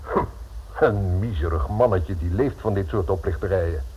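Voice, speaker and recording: An actor playing a haughty, condescending society lawyer in a radio play, formal and scornful in manner. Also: male voice, low voice, normal speed, husky. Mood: dismissive. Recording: muffled old radio recording.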